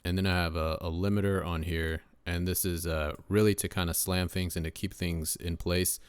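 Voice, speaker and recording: A deep-voiced young man, a rapper casually walking through his mixing setup in a relaxed way, with lots of ums and uhs. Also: normal speed, clear, resonant tone, no echo. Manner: laid-back, lots of uh and um fillers. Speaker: rapper and home mixing engineer. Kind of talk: casual screen-share mixing tutorial.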